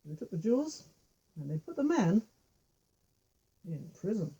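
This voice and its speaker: Soft, mellow woman telling a folk tale. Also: medium pitch, big pitch swings, moderate pace, husky, low-quality recording. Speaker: storyteller with puppet figures. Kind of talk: oral folk tale storytelling, informal.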